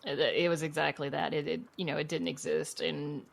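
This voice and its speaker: A woman with a low voice talks as a guest in a relaxed interview. Her speech has a marked creaky fry. She is chatty and conversational, dropping in frequent little asides. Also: brisk. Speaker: guest, podcast creator and writer.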